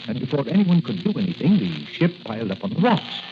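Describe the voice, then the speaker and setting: A kindly older apothecary doctor in a 1940s radio serial. He fusses in a fatherly, hesitant way with very animated pitch, on a dull recording with plenty of noise. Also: fast. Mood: concerned, musing.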